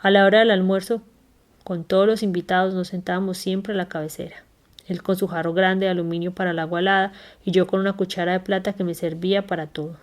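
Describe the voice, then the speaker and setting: Woman reading a memoir aloud, low voice. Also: little pitch movement. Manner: unhurried, careful reading with small slips and self-corrections. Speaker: reader.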